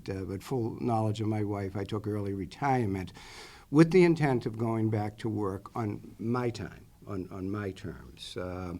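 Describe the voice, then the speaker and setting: A man's voice: lowish voice, vocal fry, darkish tone. A man in his sixties from the Boston area with a Boston accent, answering at a school committee interview in a rambling way with many fillers. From Boston area, Massachusetts. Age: 60s. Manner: rambling, many "uh" fillers and self-corrections, chatty. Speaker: superintendent candidate. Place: school committee meeting room.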